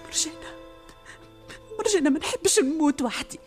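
An actress with a Tunisian accent playing a vizier's young daughter, lovesick and desperate, often speaking in breathy whispers in a radio drama. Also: female voice, high voice, expressive, fairly quick, a little mellow, faint music behind. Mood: yearning.

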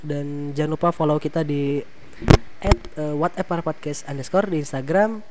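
A young man hosting a casual podcast, talking with a stuffy, slightly hoarse nose and a playful, teasing manner. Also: fairly high pitch, normal speed, heavy background noise. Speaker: podcast host. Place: coffee shop.

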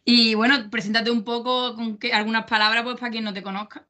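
A woman's voice: fairly high pitch, flat delivery, brisk, pushed and bright. A young woman hosting her podcast in a loose, chatty way, with frequent restarts. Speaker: podcast host.

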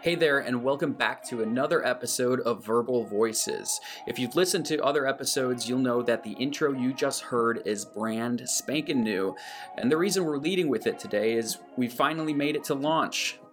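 Man narrating over clear guitar music. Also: medium pitch, moderate pace. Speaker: podcast host. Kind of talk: scripted narration and podcast hosting.

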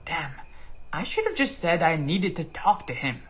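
A man voicing a shy, kindly, elderly male gnome in a storybook, hesitant, polite and gently pleading. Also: a little high, very animated, normal speed, slight background noise.

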